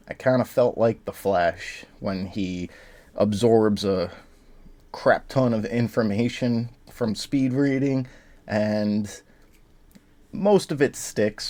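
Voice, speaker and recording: A man hosting a reaction video in easygoing, rambling casual chat, a bit raspy and stuffed up from allergies. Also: fairly low pitch, a little mellow. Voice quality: slightly raspy. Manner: easygoing, rambling with frequent fillers.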